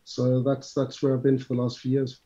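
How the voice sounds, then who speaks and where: South African man, mellow roomy voice. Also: medium pitch, completely flat delivery, brisk. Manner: chatty, lecturing asides to listeners. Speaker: CFA instructor, guest. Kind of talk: conversational interview answers. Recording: roomy, slightly dull sound.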